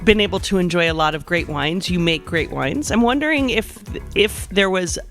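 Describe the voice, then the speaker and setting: A woman hosting a casual podcast interview, enthusiastic and quick to react to her guests. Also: lowish voice, animated, a bit bright, faint music behind. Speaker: interviewer, host.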